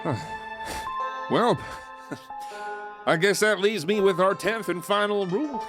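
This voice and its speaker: A man's voice: high voice, slow pace, music in the background. An actor playing a cocky, fun-loving male outlaw in a western audio drama, showboating and theatrical. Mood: wry, resigned. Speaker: outlaw narrator and lead character.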